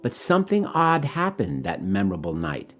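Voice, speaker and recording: A man reading his own rhyming children's story in an expressive, dramatic way. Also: low-pitched, normal speed, soft background music.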